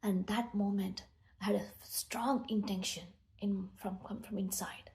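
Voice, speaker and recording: A woman with an Indian accent and a soft-edged, mellow voice at a medium pitch. She tells a personal story to listeners in an earnest, halting way, sometimes doubling back on her words. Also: steady tone. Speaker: meditation speaker.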